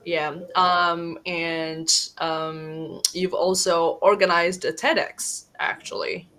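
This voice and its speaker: A woman's voice: on the low side, flat delivery, slow, a bit bright, smooth. A woman hosting an interview podcast, asking questions in a friendly, chatty way. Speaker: podcast host.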